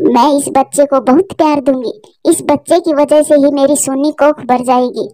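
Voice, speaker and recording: Cartoon female bird, played by a woman: high-pitched, gentle, anxious, expressive, close dry sound. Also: moderate pace, no room sound, close to an everyday voice. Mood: tender, hopeful. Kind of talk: Hindi cartoon story dialogue.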